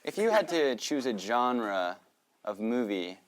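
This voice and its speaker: A man's voice: medium pitch, very animated, slow pace. A man guest in an improv dating game who asks teasing questions.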